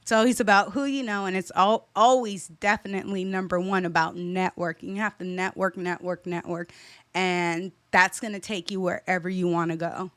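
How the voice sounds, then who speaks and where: A young woman from California with a smooth, clear voice talks in a direct, emphatic way and hammers her points home. The sound is dry and close, with no room around her. She is a stylist who is being interviewed. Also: fairly low pitch, fairly even intonation, normal speed, fairly bright tone, no echo. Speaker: stylist and boutique owner, guest. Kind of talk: interview answers, advice.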